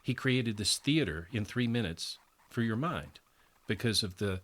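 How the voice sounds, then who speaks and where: A man's voice: on the low side, lively intonation, a little slow, fairly bright tone. A middle-aged man, reminiscing in easy conversation and chiming in agreeably. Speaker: radio veteran, podcast guest. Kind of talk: casual reminiscing chat.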